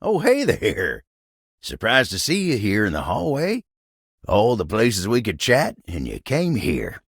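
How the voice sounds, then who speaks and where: An actor voicing a bashful, folksy, old-fashioned talking table in a video game, with a gravelly voice and an American Southern, Western-style drawl. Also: male voice, on the low side, wildly swooping pitch, unhurried, dry recording, studio quality, heavily acted. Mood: friendly, welcoming. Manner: folksy, rambling, flustered hesitations. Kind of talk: video game character dialogue.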